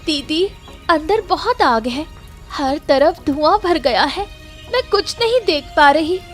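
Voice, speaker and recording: A woman's voice: sits high, expressive, slow, soft background music, pitched above the performer's own voice. A woman voicing a frightened, self-sacrificing younger sister, pleading shrilly in a cartoon story style. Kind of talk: Hindi cartoon moral story acting.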